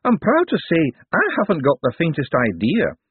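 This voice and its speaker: A man's voice: fairly high pitch, huge pitch swings, moderate pace, slightly rough, no room sound, voice pushed up, exaggerated voice. A man voicing a fussy, weary elderly male wizard scholar who rambles and grumbles plaintively. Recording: tinny phone-like lo-fi.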